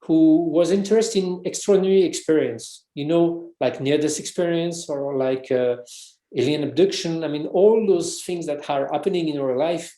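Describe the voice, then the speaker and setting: Man, French accent, non-native English, hesitant, mellow tone, echoey room. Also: on the high side, normal speed. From France. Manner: hesitant, many restarts and fillers. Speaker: guest, researcher and author. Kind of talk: casual interview conversation.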